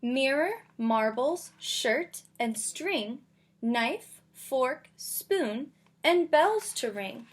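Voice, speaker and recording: A woman with a slightly high voice teaches young children through a read-aloud. Her pace is very unhurried. She speaks in simple, instructive language and talks straight to her young listeners. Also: expressive. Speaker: kindergarten teacher reading aloud. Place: bedroom at home.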